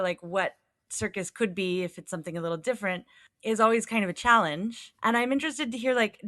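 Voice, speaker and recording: A woman's voice: mid-range voice, sharp tone, no room sound, studio quality. A woman from the United States hosting a podcast, asking curious questions in a casual way with frequent fillers. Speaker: podcast host.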